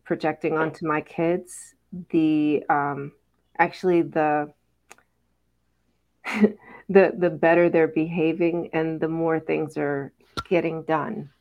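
Woman, middle-aged, mother, low voice, slow pace, reflective, frequent fillers. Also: a little mellow. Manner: thoughtful, unhurried, many fillers and pauses. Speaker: mother on a parenting talk panel. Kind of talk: reflective casual conversation.

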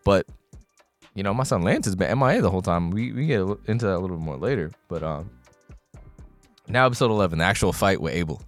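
Animated man riffing on an anime. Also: deep voice, quick pace, pauses often, faint music behind. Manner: animated, swears freely, acts out scenes. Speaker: podcast co-host. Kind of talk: casual chat.

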